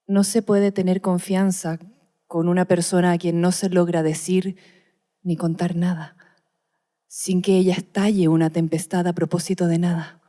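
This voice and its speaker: A frightened young wife in a radio play, voiced by a woman. She speaks low and mellow, measured and haunted, with defiance under the dread. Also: flat delivery, close to an everyday voice. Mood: fearful, haunted. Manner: measured, dramatic, haunted delivery. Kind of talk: Spanish-language radio play, diary monologue.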